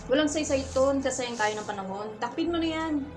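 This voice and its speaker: Radio drama: woman as brisk, firm guard demanding compliance, quick delivery, music behind. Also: high voice, lively intonation. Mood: impatient. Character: brisk, businesslike, impatient colonial civil guard. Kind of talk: radio play acting in Filipino.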